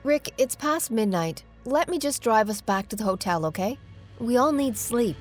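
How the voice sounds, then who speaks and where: A plain-spoken investigator played by a woman with a fairly high voice and dry sarcasm. It is audio drama acting, with faint music underneath. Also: expressive, moderate pace. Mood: uneasy.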